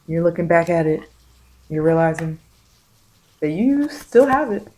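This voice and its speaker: A woman reads tarot in a soft ASMR style. Her voice is on the low side and very mellow and dark. She circles back over phrases haltingly, offering reassurance and spiritual guidance. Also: lively intonation, rough recording. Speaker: tarot reader.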